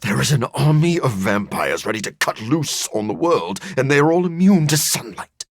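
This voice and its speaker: An actor playing a brooding, courteous scientist turned vampire in a video game, speaking formally with dry wit and a gravelly, husky voice. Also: male voice, medium pitch, very animated. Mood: stern, wary. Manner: formal, measured, dryly witty. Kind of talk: video game character voice acting.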